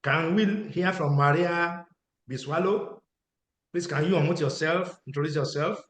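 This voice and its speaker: A man's voice: fairly high pitch, fairly even intonation, moderate pace, reverberant. A man moderating an online panel over a video call, introducing speakers with many hesitations. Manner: hesitant, many fillers, warm thanks to panelists.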